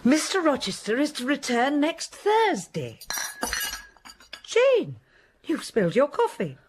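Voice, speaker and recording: An actress playing a fussy, chattering older housekeeper in a radio drama. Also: female voice, sits high, big pitch swings, slow pace, music in the background, exaggerated voice. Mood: bustling. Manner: bustling, chattering.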